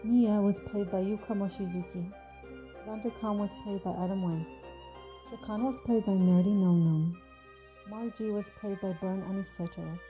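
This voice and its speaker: A woman announces credits from a script. Her pitch is average for a woman, and music plays clearly behind her voice. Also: fairly even intonation, moderate pace, narrow, tinny audio.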